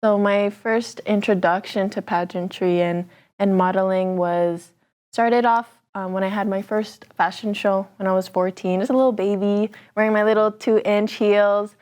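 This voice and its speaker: A woman's voice: medium pitch, fairly even intonation, moderate pace, darkish tone. Nineteen-year-old Canadian woman, pageant guest, casual rambling interview delivery. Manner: chatty, frequent ums and restarts.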